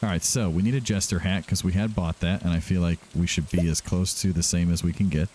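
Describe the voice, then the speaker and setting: A man's voice: deep voice, steady tone, fast, mellow, a little hiss or hum. A man doing casual let's-play commentary as a gaming host, chatting to himself and to the game's characters. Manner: talks to himself and to game characters.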